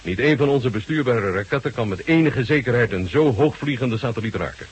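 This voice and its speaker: An actor playing a jovial, shrewd Soviet marshal in a radio drama, with a husky voice and a bantering, courteous manner. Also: male voice, mid-range voice, expressive, a little fast. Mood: calm, explaining.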